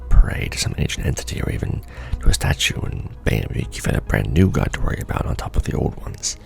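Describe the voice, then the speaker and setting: A man performing an ASMR roleplay as a seasoned, wry secret-society official, talking softly and casually with a gravelly, breathy undertone. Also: extremely low pitch, very animated, faint music behind. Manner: wry, casual, unhurried explaining. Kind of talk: ASMR roleplay monologue, soft conversational briefing.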